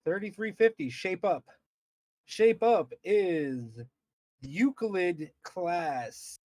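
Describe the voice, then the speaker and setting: A man's voice: high-pitched, animated, drawn-out words, darkish tone, dry recording, clean studio sound. A man co-hosting a podcast, reading aloud with casual commentary, stumbling over words and repeating himself as he goes. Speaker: podcast co-host.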